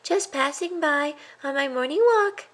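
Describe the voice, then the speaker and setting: Lady doing a little boy mouse for a storybook, high n bouncy, sly and eager, kinda guilty schemer. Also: animated, measured pace, fairly bright tone. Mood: innocent, evasive. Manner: eager, self-justifying, childlike. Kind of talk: children's storybook character voice.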